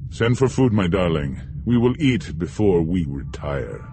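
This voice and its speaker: A man voicing a grossly fat, scheming older baron in an audiobook, speaking slowly and heavily. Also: low-pitched, sing-song, music in the background, pitched below the performer's own voice, full character voice. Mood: indulgent.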